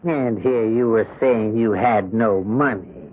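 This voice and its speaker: An actor playing a smooth, menacing bookie with a coolly insistent, politely threatening manner in a 1970s radio drama. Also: male voice, fairly low pitch, takes it slowly. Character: smooth, menacing male bookie and debt collector. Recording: tinny band-limited old radio broadcast.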